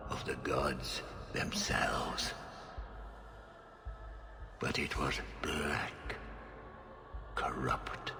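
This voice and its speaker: Slow, booming ancient corrupted magister. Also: male voice, gravelly, exaggerated voice. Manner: commanding, grandiose, archaic, drawn-out oratory. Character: ancient corrupted magister-monster, imperious, bewildered, fanatical. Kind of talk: dramatic fantasy video game acting. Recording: long cavernous reverb, music underneath.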